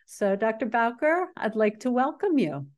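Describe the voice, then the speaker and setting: A woman's voice: a little high, sing-song, moderate pace, a little mellow. A woman hosting an interview podcast, upbeat and chatty as she introduces her guest and asks questions. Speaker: podcast host.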